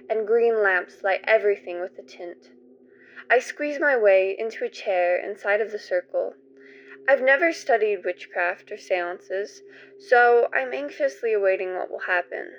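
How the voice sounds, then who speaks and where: A woman of medium pitch narrates scripted radio theater as its host. She is theatrical and suspenseful, and the recording is slightly dull and band-limited.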